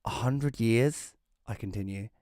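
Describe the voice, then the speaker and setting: A man in his thirties playing a glum, self-deprecating widower who narrates an audio drama in a dry, deadpan way. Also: medium pitch, unhurried, dry recording, lightly acted. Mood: wry, reflective. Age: 30s. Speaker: narrator and lead character. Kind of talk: first-person scripted narration in audio drama.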